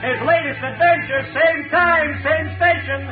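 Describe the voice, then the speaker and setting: An excited young newsboy hawking papers on old radio. The voice is extremely high and calls out in repeated cries. Music plays behind on a tinny recording. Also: male voice, measured pace. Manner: shouting, repetitive street-hawker cry. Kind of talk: 1930s radio acting.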